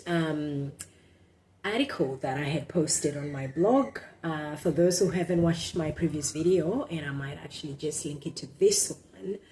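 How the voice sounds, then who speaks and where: A woman's voice: low-pitched, warm tone, slight background noise, rough recording. A woman from Zimbabwe with a Zimbabwean accent, whose first language is Shona, reading her own blog posts aloud in a casual vlog with a hesitant, reflective manner. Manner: hesitant, many ums and restarts, reflective.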